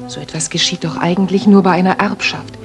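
A woman's voice: fairly low pitch, fairly even intonation, fast, close to an everyday voice. An actress playing a worried young lady music teacher in a German radio play, speaking politely, earnestly and formally. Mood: recounting, excited.

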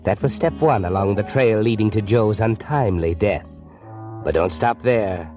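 An actor playing a sardonic, taunting male inner voice, deliberately goading, in old-time radio drama narration style. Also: lowish voice, a little mellow, lightly acted. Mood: menacing, goading. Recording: muffled old radio recording. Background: dramatic music.

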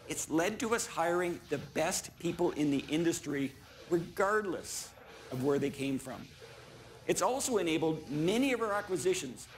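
High-voiced middle-aged man giving a keynote. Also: a little hiss or hum, poor audio. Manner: measured, deliberate, in short emphatic statements. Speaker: chief executive giving a keynote. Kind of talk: rehearsed keynote speech. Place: large conference stage before an audience. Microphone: small clip-on lapel mic.

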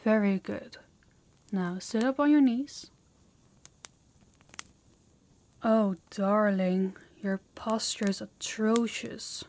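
A woman's voice: mid-range voice, takes it slowly, darkish tone. A woman playing a harsh, dominant demon in an intimate audio roleplay, commanding and menacing, often dropping toward a whisper. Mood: stern, approving. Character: harsh, dominant female demon training a human captive.

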